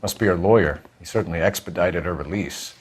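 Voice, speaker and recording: A man's voice: low-pitched, a little fast, a little hiss or hum, lightly acted. A man playing an earnest, courteous 1940s police detective in a video game, with a polite, formal manner. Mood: inquiring.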